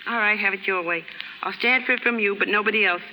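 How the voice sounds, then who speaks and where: An American actress playing a brash, warm-hearted young working-class woman in a 1930s radio drama, slangy, ungrammatical and cheeky. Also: medium pitch, fast. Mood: chatty. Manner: slangy, ungrammatical, cheeky, putting on airs at times. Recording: hissy 1930s radio recording, reverberant stage.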